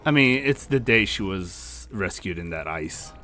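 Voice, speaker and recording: A man's voice: deep voice, lively intonation, measured pace, bright, forceful tone, faint music behind. A man hosting casual reaction commentary, explaining game characters in an easygoing way. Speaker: host presenting game characters.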